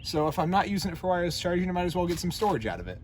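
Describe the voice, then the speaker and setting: A man's voice: high-pitched, big pitch swings, rapid-fire. A man giving a casual hands-on tutorial, talking informally and thinking aloud as he works. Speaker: DIY truck vlogger. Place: in or around a pickup truck. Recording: clearly noisy, vehicle ambience.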